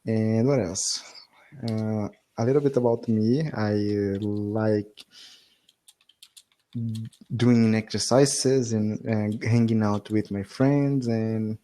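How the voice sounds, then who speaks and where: A man's voice: lowish voice, steady tone, takes it slowly, dark tone. A man with a Brazilian accent, an English learner whose first language is Portuguese, talking on a video call in an eager, hesitant way with frequent laughs. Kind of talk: casual video-call lesson.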